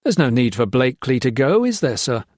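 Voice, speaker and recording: A man's voice: medium pitch, big pitch swings, fairly quick, darkish tone, no room sound, clean studio sound. A man voicing an ill male prisoner who speaks with defiance and seething threats. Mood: defiant. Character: gaunt, ill male prisoner, coldly vengeful.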